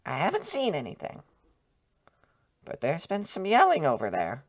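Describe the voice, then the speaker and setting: A woman's voice: on the low side, huge pitch swings, moderate pace. A woman playing a guarded, uneasy suburban neighbor who speaks tersely and hesitantly in an audio drama. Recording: muffled sound under clear music. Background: music.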